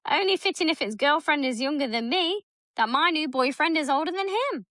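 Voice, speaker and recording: Bright, blunt, foul-mouthed woman in her thirties. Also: high voice, a little fast, no room sound, clean studio sound. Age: 30s. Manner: blunt, swears freely, animated storytelling. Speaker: podcast co-host. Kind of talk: casual chat reading a story aloud.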